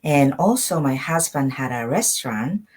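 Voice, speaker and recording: A middle-aged woman from Japan speaks English as an in a low-pitched voice. She is an interview guest sharing her own story in a conversational way, repeating words for emphasis. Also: lively intonation, moderate pace, darkish tone, slightly rough. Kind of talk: personal storytelling in an online interview.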